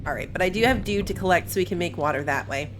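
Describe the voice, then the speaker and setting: A woman's voice: lowish voice, quick pace. A woman hosting a gaming video with casual, chatty commentary, thinking aloud as she plays. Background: faint game music.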